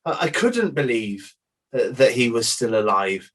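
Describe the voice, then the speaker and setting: A man's voice: medium pitch, sing-song, a little slow, slightly rough. Man acting as Doubting Thomas, a plain-spoken witness. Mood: doubting, dejected. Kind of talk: dramatized courtroom inquiry.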